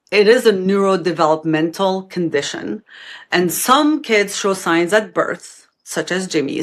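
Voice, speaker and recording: A woman in her fifties from Algeria speaks in a fairly low voice, answering interview questions. She explains things in an earnest, matter-of-fact way and lays out each point step by step. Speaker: guest.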